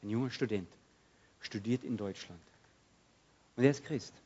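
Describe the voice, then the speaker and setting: A man preaching a sermon, swinging from loud outbursts to hushed, quiet passages and acting out prayers. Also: fairly low pitch, moderate pace, husky, highs cut off.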